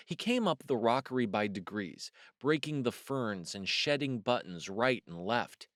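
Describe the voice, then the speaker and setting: A man narrating a children's storybook as a storyteller, expressive and deliberate in his emphasis. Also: lowish voice, no echo, studio quality. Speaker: storyteller, host of a read-aloud series.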